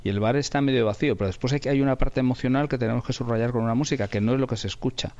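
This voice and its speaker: A man's voice: mid-range voice, rapid-fire, a little mellow, dull audio. A man with a Spain accent chatting colloquially as a radio drama sound producer, repeating phrases for emphasis. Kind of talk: casual conversational interview.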